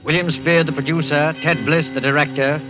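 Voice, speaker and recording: Portentous higher-voiced male radio narrator. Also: fairly even intonation. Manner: measured, portentous. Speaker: narrator and announcer. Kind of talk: formal 1940s radio narration, reading credits. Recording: vintage radio, loud music behind. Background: loud music.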